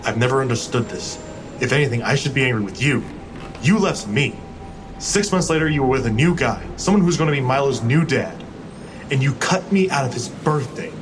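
A man's voice: medium pitch, lively intonation, a little fast, over music, close to an everyday voice. An actor playing a wounded, hot-tempered ex-husband and grieving father in an audio drama, with an emotional, volatile delivery. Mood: accusing. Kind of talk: full-cast audio drama dialogue. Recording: clearly noisy outdoor ambience.

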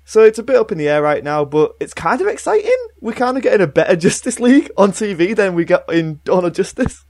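A young man with a voice pitched a little high chats casually as a podcast panellist. He is enthusiastic about pop culture and leans emphatically into his opinions. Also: very animated, fast talker, darkish tone. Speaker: podcast panellist and film reviewer.

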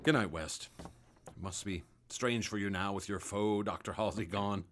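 An actor playing an earnest, decent young doctor in a period radio drama, speaking gently. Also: male voice, on the low side, a little slow. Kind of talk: 1930s radio drama acting.

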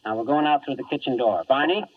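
An actor playing a fussy, courteous, coolly menacing crook boss in a precise 1950s radio drama style. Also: male voice, mid-range voice, fast, dry recording, lightly acted. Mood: politely menacing. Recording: muffled, distant 1950s radio recording.

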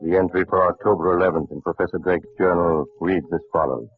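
A man acting a grave, formal doctor who narrates uncanny tales in an old radio drama, speaking deliberately. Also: low voice, fairly even intonation. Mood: grave, ominous. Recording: muffled old radio broadcast.

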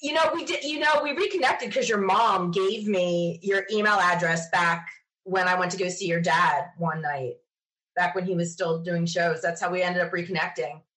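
A woman's voice: fairly low pitch, normal speed, fairly bright tone, poor audio. An American woman talking casually as a guest in a podcast interview. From South Jersey, United States.

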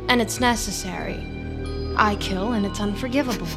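An actress playing a sly, callous, manipulative killer in an audio drama, with a cool sardonic, coaxing manner. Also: female voice, medium pitch, moderate pace, music in the background, close to an everyday voice. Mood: mocking, cold. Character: sly, callous, manipulative woman who kills.

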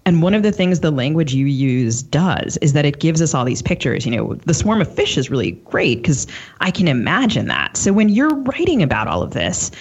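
A woman interviews as a podcast co-host in a conversational way. Her intonation is very lively and her voice is husky. The sound is a little dull and lo-fi. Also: deep voice, moderate pace. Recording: dull, lo-fi audio.

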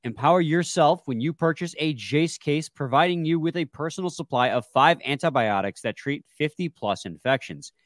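A man's voice: on the high side, expressive, normal speed, hardly any pauses, no echo, clean studio sound. A man with a stuffy, congested voice, hosting a casual sports podcast with laid-back, joking banter. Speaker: podcast co-host.

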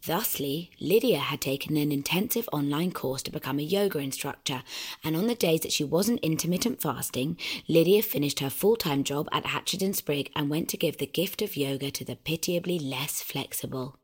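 An actress voicing a coolly smug, controlling disembodied guide, precise and arch, narrating and coaching in an audio drama. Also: female voice, sits low, expressive, moderate pace, slightly rough. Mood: grandly narrating. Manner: precise, arch, grandiose, instructing.

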